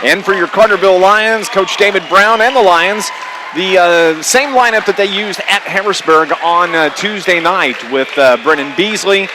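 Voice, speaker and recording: A man's voice: high voice, moderate pace, a crowd in the background. A man doing live radio play-by-play of a basketball game, in clipped running phrases. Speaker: radio play-by-play sports announcer. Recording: noisy live gym broadcast. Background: gymnasium ambience, bouncing basketballs.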